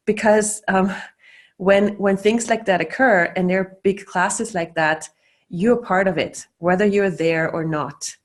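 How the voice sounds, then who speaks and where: A woman's voice: mid-range voice, unhurried. A middle-aged woman, a facilitator talking loosely over a video call, full of fillers and restarts. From Austria. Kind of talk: casual teaching talk.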